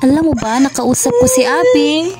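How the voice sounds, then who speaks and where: A woman's voice: very high voice, very animated, normal speed, over loud music. A woman playing a caring, earnest young activist in an amateur radio drama, tender and reassuring. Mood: soothing.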